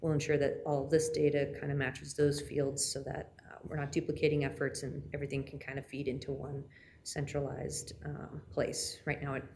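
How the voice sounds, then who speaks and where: A woman on city grants staff presenting policy updates to a council, steady with frequent fillers. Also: sits low, slight background noise. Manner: steady, many fillers, trailing off quietly.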